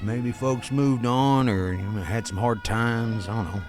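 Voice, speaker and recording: Man playing an unhurried frontier family man. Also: medium pitch, over music, close to an everyday voice. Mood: steady, fatherly. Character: frontier husband and father, laid-back, plainspoken, steady. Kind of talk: spaghetti western radio play acting.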